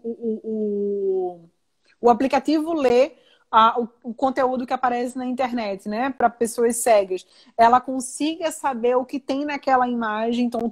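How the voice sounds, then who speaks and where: Guest: young woman from Recife, strong Pernambuco accent, lively chatty answers. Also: a little high. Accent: Pernambuco Portuguese. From Recife, Brazil. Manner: animated, talkative, many fillers and restarts. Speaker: guest, science communicator and entrepreneur. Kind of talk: video call interview.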